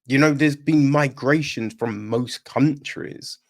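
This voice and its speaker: Slow, rambling man reviewing a film. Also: medium pitch, vocal fry. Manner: rambling, frequent tag questions like "right?" and "you know"; opinionated and irritated. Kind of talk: casual podcast review.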